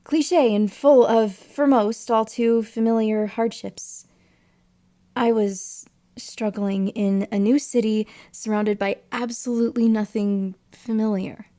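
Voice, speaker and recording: Actress doing lonely young volunteer girl, highish voice, earnest n halting, audio drama. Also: steady tone, no echo, clean studio sound. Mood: reflective, wistful. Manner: soft-hearted, hesitant, halting. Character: lonely, earnest young woman hospital volunteer narrating her diary. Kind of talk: first-person audio drama narration and dialogue.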